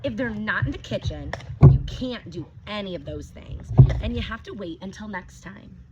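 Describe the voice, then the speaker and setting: A woman teacher reading a picture book aloud to children, gently stopping to ask them questions. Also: medium pitch, lively intonation, moderate pace. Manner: gentle, asks children questions between pages. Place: outdoors with her dogs. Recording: dull lo-fi recording with clear background noise.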